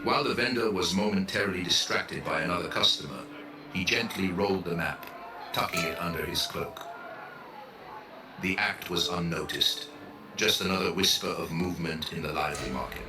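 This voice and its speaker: Very deep-voiced male storyteller. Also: moderate pace. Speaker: narrator. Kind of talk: scripted dramatic story narration. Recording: roomy echo with music underneath. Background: music.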